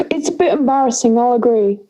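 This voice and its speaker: A woman's voice: fairly high pitch, normal speed, dark tone, natural voice, poor audio. A young woman playing a wry schoolgirl friend, sheepish but bossy, in a conversational audio drama scene. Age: young adult.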